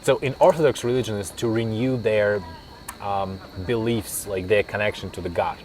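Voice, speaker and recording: A fairly low-voiced young man from Kazakhstan, a native Russian speaker talking in English. He answers interview questions in a thoughtful, halting way, pausing on fillers and starting sentences over. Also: a little mellow. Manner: thoughtful, hesitant with many fillers and restarts. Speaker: interview guest, linguist.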